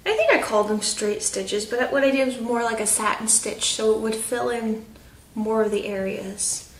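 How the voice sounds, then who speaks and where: A woman's voice: fairly high pitch, a bit bright, far from the mic. A young woman, chatting casually and rambling with lots of fillers in a home update video. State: stuffy nose. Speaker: craft vlogger. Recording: roomy echo, off-mic.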